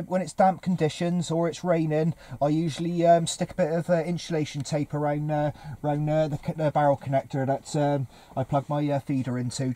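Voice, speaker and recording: A man's voice: a little high, dark tone. A man chatting casually as a CB radio operator, using radio jargon, heard over CB radio.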